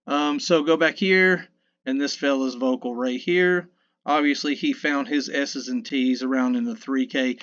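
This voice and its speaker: A man in his fifties with a low mid voice, casually talking through a mixing tutorial in a rambling, self-correcting, folksy way. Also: fairly even intonation, measured pace, fairly bright tone, highs cut off. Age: 50s. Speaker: sound engineer teaching mixing. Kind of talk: casual screen-recorded tutorial. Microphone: dynamic vocal mic.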